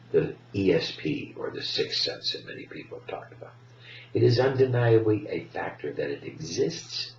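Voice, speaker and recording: Echoey, low-voiced man lecturing with swooping pitch. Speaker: presenter. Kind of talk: lecturing commentary. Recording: echoey, distant, dull with faint noise.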